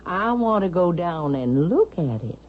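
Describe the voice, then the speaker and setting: A dull, hissy vintage radio recording carries a haughty elderly woman. Her voice sits slightly low and moves expressively as she speaks slowly and deliberately. She is sharp-tongued and suspicious, in 1970s radio drama style. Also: very animated. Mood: probing, wary. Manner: haughty, deliberate, pointed questioning.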